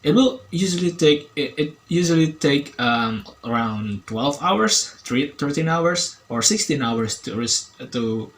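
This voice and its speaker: A man with an Indonesian accent giving a casual review, speaking haltingly and often rephrasing as he searches for words. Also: on the high side, lively intonation. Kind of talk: casual app review to camera.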